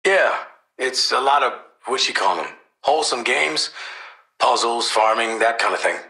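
A man's voice: medium pitch, expressive, normal speed, bright, forceful tone, echoey room, poor audio. An American man voicing a cocky, world-weary assassin in a video game, with casual, slangy, wry delivery. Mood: earnest. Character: cocky, world-weary male assassin, gruff team player. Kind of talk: video game character voice lines.

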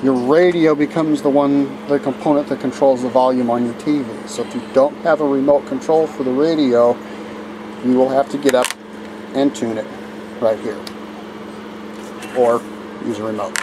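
A man talks through a simple do-it-yourself setup in a casual, folksy way, stopping for fillers and restarting sentences. His voice sits at an ordinary middle pitch. It comes through noisy camcorder audio with a steady hum underneath. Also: moderate pace, long pauses. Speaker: DIY video maker. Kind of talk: casual how-to demonstration. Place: inside a motor home at night.

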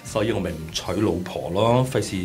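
A man with a Hong Kong Cantonese accent playing a stingy, sharp-tongued middle-aged boss in a radio drama. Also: lowish voice, a little fast, lightly acted. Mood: irritated. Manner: brusque, dismissive, stammers when frightened. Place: small padded radio studio. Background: music.

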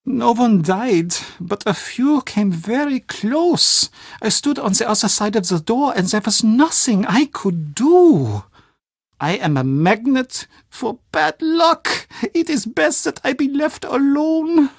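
A man's voice: very high-pitched, animated, creaky, slightly rough, no room sound, exaggerated voice. Game role: eccentric older German man, anxious and gloomy, swooping intonation, dry close sound. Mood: gloomy, haunted. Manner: fretful, melodramatic, halting formal phrasing. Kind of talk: video game character acting.

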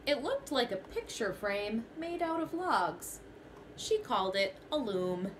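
A young woman teacher reads a children's picture book aloud, taking it slowly and letting her voice swoop up and down. Her voice sits a little high. She records close to a phone camera in a small bedroom, with a faint hiss behind her. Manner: expressive storytelling, first person as the goat.

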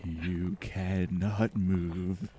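Casting: arrogant, menacing alien being, radio horror, deep mellow voice, pompous delivery, faint noise behind. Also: male voice, flat delivery. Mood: commanding. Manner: slow, pompous, contemptuous declamation. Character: ancient, arrogant, menacing alien mind from a meteor. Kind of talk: retro radio drama acting.

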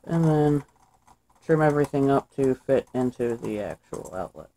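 A man's voice: medium pitch, moderate pace, a little hiss or hum, rough recording. A young man casually talking through a wiring job step by step, recorded indoors at a workbench on the camera's mic. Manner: relaxed, thinks aloud while working. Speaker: DIY maker. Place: indoor workshop table.

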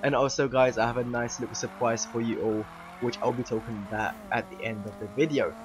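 A young man with a hoarse, fading voice gives a chatty channel update, filling his talk with ums and "guys". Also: mid-range voice, fairly even intonation, brisk, background noise. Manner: chatty, many fillers, keeps saying "guys". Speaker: YouTube gaming channel host. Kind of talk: casual vlog update over game footage.

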